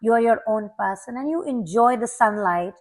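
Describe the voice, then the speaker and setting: A woman's voice: on the high side, lively intonation, normal speed, darkish tone. A woman in her forties from Pakistan, a longtime teacher, talking earnestly and reflectively as a guest in an interview, often repeating a word as she starts. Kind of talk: casual interview talk.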